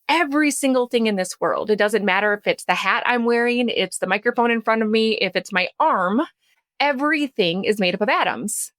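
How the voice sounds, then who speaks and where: A woman's voice: fairly high pitch, a bit bright, dry recording. A woman speaking as a podcast guest and coach, explaining things in an emphatic, conversational way and checking that listeners follow. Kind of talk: animated explanatory talk.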